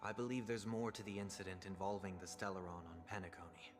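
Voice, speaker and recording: A man playing a soft-spoken, formal and solemn young gentleman in a video game, speaking in a measured way. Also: low-pitched, level tone, over music, close to an everyday voice. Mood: composed, polite. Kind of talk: dramatic video game dialogue acting.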